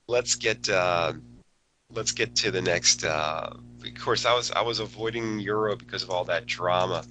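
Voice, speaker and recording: A man hosting a live trading webinar, talking casually with many fillers, his voice still a little low after a cold. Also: sharp tone, a little hiss or hum, low-quality recording. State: recovering from a cold, voice a bit lower. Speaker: forex educator hosting a webinar. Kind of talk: live market analysis webinar.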